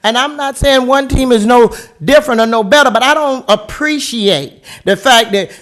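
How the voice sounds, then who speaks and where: A man giving a passionate, emphatic, loud speech as a board member at a public city meeting. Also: very high-pitched, moderate pace. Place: council chamber.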